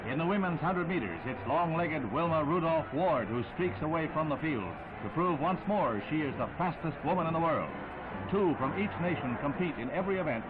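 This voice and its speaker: A man's voice: fairly high pitch, lively intonation, brisk, background noise. An American man narrating a 1960s newsreel in a dramatic, punchy announcer style. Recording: tinny vintage newsreel soundtrack, loud music.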